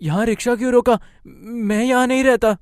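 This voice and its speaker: A man's voice: very high voice, brisk, heavy background noise. A man as a worried, earnest young son. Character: troubled, dutiful jobless young son. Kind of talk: Hindi moral-story cartoon dub.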